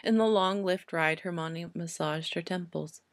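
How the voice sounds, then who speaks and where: A woman's voice: fairly low pitch, a little slow, no echo. A woman narrating a scripted work of fiction as an audiobook reader.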